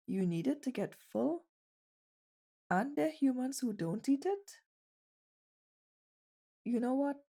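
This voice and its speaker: A woman's voice: medium pitch, gravelly, dark tone, full character voice. A woman playing a shy, skittish stray bunny girl in an ASMR roleplay, speaking in a hesitant, easily flustered way.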